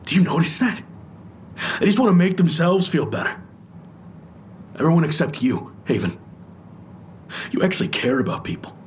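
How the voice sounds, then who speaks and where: An actor in an audio drama playing an intense, wounded trauma survivor, speaking in an earnest, insistent, confessional way. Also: male voice, a little high, sing-song, normal speed, long pauses, slight background noise. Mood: bitter, insistent. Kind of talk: naturalistic audio drama acting.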